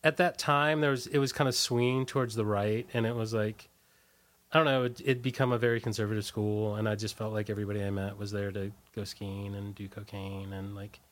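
A man's voice: fairly low pitch, little pitch movement, fairly quick. A man, a musician being interviewed, reminiscing casually in a hesitant, rambling way that often trails off. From Washington, D.C. area, United States.

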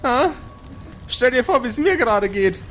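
A man voicing an exhausted, anxious woman in labour, speaking in a halting, pleading way. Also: extremely high pitch, very animated, background noise, voice pushed up.

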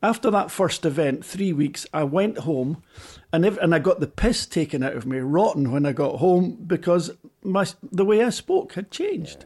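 A Scottish man in middle age talks casually as a podcast panellist. His voice sits a little high, and his accent is softened, with halting, repeated starts. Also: animated, husky. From Scotland. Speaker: podcast panellist, former rally team boss. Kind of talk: casual chat.

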